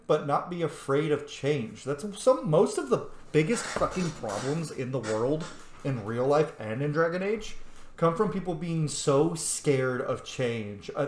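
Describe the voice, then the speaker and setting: A man's voice: a little high, a little mellow. A man giving casual, reflective commentary as he plays a roleplay video game, talking conversationally. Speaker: gaming video host. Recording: roomy, echoing sound.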